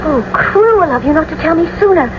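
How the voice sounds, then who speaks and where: Young bride, theatrical radio drama, passionate and defiant, high pitch, noisy old broadcast. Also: female voice, lively intonation, fairly quick, lo-fi sound. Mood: distraught, defiant.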